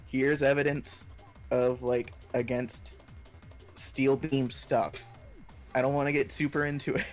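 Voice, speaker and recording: A young man chatting casually on a live stream, telling stories in a rambling, halting way full of filler words. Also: medium pitch, flat delivery, soft background music. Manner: rambling, halting storytelling peppered with 'like'. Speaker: stream co-host.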